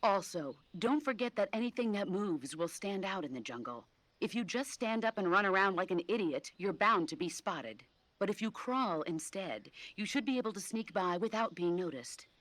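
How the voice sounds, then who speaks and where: A woman playing a composed mission support officer in a video game, explaining things clearly, patiently and firmly. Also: medium pitch, big pitch swings, normal speed, fairly bright tone. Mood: instructive. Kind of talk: video game tutorial briefing.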